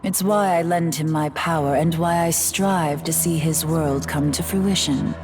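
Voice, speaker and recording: An actress voicing an elegant, scheming fallen goddess in a video game, with a refined, condescending manner. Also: female voice, low-pitched, fairly even intonation, unhurried. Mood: musing. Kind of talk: video game cutscene voice acting. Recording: game audio with music behind.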